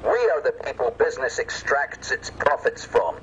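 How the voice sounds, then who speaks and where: A man delivers a scripted campaign speech in solemn statements. His voice sits at a middling pitch. The recording is dull and lo-fi, with faint noise behind him. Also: animated, normal speed, fairly bright tone. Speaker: political campaigner.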